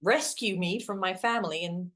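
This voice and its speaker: An actress playing an awkward, literal-minded young woman in a play reading, a hopeless romantic with a hesitant, wry deadpan manner. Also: lowish voice, normal speed, lightly acted. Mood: wistful, self-mocking. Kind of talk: two-hander stage play reading.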